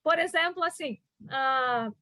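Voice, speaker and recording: A woman's voice: high voice, slow pace, sharp tone, dry recording. Hesitant woman. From southern Brazil. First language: German. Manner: hesitant, many restarts and fillers. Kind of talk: reflective conversation.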